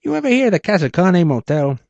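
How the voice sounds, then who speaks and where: A man's voice: high-pitched, sing-song, fairly quick, vocal fry, darkish tone, no room sound, highs cut off, voice pushed up, heavily acted. A man doing a growling cigar-smoking barkeep. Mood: gruff. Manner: growling, gossipy storyteller. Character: gruff old male bar owner, cigar-smoking, growling.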